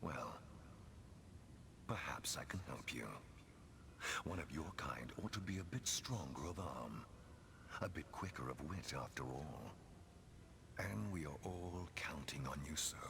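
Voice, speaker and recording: An actor playing a sly, courtly ancient demon in a fantasy game, with a gravelly voice and grandiose phrasing. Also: male voice, a bass voice, expressive, a little slow, pauses often, noisy background, full character voice. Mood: silky, knowing. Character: suave, sly ancient male demon offering dark bargains.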